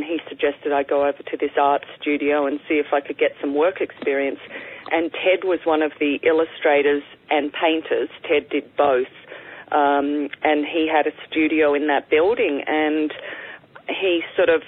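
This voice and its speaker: An Australian woman, an artist and interview guest, telling her story in a reflective, unhurried way with frequent ums. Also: deep voice, fairly even intonation. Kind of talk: conversational storytelling. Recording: tinny phone line.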